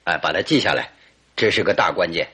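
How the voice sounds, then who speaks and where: Methodical detective, deep voice, raspy edge, inquisitive, radio drama. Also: male voice, lively intonation, fast talker, pushed and bright, heavily acted. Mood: probing, attentive. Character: inquisitive, methodical male detective questioning a client. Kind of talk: Mandarin radio drama acting.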